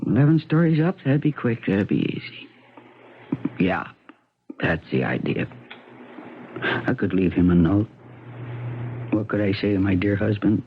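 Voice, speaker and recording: Distraught, woozy wife, thin radio sound. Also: female voice, very deep voice, animated, slight background noise, exaggerated voice. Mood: despairing, restless. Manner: halting, rambling, self-questioning. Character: distraught suicidal wife, drowsy, bitterly flippant. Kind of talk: 1970s radio drama acting. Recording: muffled, thin 1970s radio broadcast.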